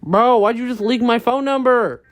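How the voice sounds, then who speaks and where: A man's voice: very high-pitched, normal speed, no room sound, faint music behind. A man giving casual, joking reaction commentary in slangy asides as host of a video.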